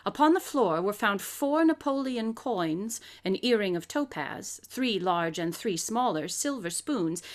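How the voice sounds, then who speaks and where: A woman acting as a brilliant lady sleuth in a radio play. She is self-assured and methodical, with precise phrasing and a lively, wide-ranging pitch. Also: a little slow, hardly any pauses, natural voice. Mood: intent reading. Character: brilliant, self-assured female amateur detective. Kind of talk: live radio drama acting.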